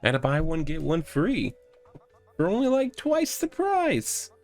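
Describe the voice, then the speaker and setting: A proud, rambling corporate mascot in a cardboard box, acted out by a man reading a visual novel. The delivery is slow and halting, earnest yet boastful, with plenty of gravel in it. Music plays behind the voice. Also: fairly high pitch, huge pitch swings, darkish tone, voice pushed up, full character voice. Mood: upbeat, rambling. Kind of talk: comedic character read-aloud of a visual novel.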